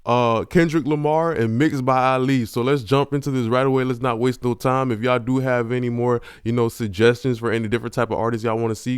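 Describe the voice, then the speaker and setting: A man's voice: medium pitch, clean tone, no echo. A man hosting a casual music-production tutorial, talking in a laid-back, slangy way full of fillers, into a large-diaphragm studio condenser mic in a home studio. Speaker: YouTube host teaching vocal mixing.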